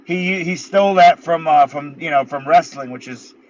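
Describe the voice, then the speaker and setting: A man's voice: a little high, moderate pace, a little hiss or hum. Blunt guy in his thirties, muffled line. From United States. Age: 30s. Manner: laid-back, blunt, swears casually. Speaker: guest, MMA fighter. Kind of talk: casual interview. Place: in his car.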